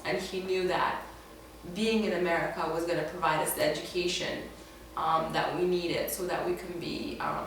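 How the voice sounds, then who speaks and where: In a very echoey room, a young woman from Ethiopia speaks from a distance in a fairly low voice. She is a native Amharic speaker recounting her own life in an interview. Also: fairly bright tone. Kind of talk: personal life story told in interview. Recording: echoey room, distant mic, faint noise.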